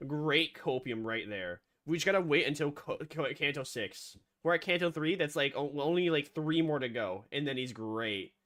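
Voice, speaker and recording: A streamer chatting through a game stream in a joking, rambling way, with a voice they call monotone. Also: mid-range voice, moderate pace, few pauses. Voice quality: self-described monotone voice. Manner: joking, rambling, often restarts. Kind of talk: casual game reaction stream.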